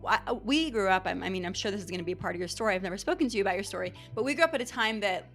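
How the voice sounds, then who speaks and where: A woman's voice: medium pitch, lively intonation, racing, fairly bright tone, faint music behind. Chatty woman hosting a podcast interview. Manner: chatty, rambling questions with restarts and fillers. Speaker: podcast host. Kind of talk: conversational interview.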